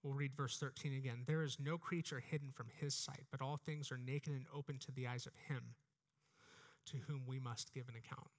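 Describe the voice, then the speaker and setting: A man's voice: medium pitch, steady tone, moderate pace. A man in his thirties with an American accent, giving an earnest, exhorting sermon into a headset mic, often repeating phrases. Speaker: preacher. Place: church stage, indoors.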